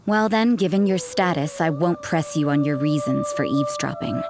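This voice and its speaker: A woman's voice: low-pitched, expressive, normal speed, a little mellow, slight background noise. A woman playing a cool, sardonic church sister in a video game, with a dry, cutting and suspicious way of talking.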